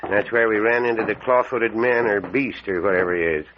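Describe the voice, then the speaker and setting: A man's voice: on the low side, a little fast, natural voice. An actor playing a superstitious, fatherly small-town doctor with an American accent and a folksy, foreboding manner, in an old-time radio mystery. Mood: uneasy, warning. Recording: muffled, noisy old radio recording.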